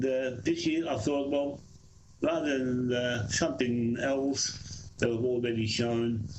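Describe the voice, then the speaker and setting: Man, rambling radio hobbyist, noisy stream audio, middle pitch. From Australia. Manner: friendly, rambling with fillers. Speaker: radio amateur presenter. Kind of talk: casual live stream talk.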